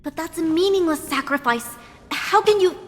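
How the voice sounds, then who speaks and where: A woman's voice: very high voice, normal speed. An actress playing an earnest, proper young noblewoman and dutiful daughter in a video game. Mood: distressed, protesting.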